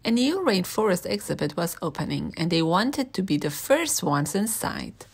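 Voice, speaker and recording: A woman's voice: fairly low pitch, sing-song, normal speed, gravelly, slight background noise. Woman reading a children's story aloud.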